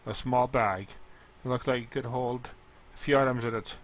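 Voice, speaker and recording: A man narrating amateur fan fiction in a halting read, now and then stumbling over words. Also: medium pitch, fairly even intonation. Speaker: story narrator.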